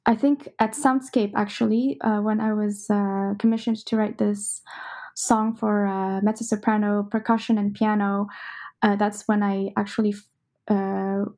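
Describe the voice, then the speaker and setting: A young woman talks through her work in a relaxed interview, pausing often and filling gaps with soft "uh"s. Her voice is mellow and dark, with a gravelly fry, and her pitch stays fairly flat. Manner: thoughtful, many "uh" fillers. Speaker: composer, interview guest. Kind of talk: casual interview answers.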